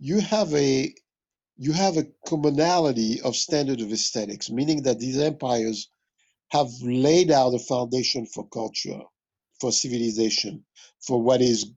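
Male interviewee from West Africa, husky voice, scholarly and deliberate delivery, slightly dull recording. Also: mid-range voice, darkish tone. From West Africa (Mali). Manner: deliberate, scholarly, repeats phrases for emphasis. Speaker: interviewed jazz musician and music history teacher. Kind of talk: reflective interview talk, explanatory.

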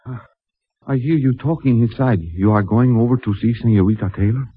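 An actor playing a humble, pleading mission servant with a Spanish accent and halting, earnest broken English, in a 1950s radio drama. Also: male voice, mid-range voice, slightly rough, dry recording, lightly acted. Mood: anxious, hesitant. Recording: muffled, narrow-band vintage radio recording.

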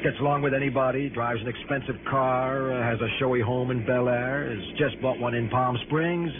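A man acting an excitable, irritable, chatty American insurance man at the wheel in an early 1960s radio drama, emphatic and rambling. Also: medium pitch, normal speed, lightly acted. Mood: eager, explanatory. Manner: rambling, emphatic, easily exasperated. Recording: muffled old radio recording, loud car noise.

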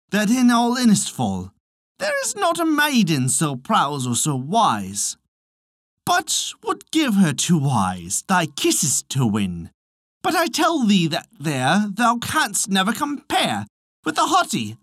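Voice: mid-range voice, a bit bright, no room sound, clean studio sound, pitched above the performer's own voice, full character voice. A storyteller voicing a tiny, proud male fairy poet with a declamatory, archaic and haughty delivery.

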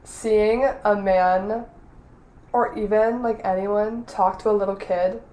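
A woman's voice: medium pitch, steady tone, takes it slowly, dark tone. Blunt, joking young woman co-host. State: losing her voice. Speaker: podcast co-host. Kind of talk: casual chat. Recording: echoey room.

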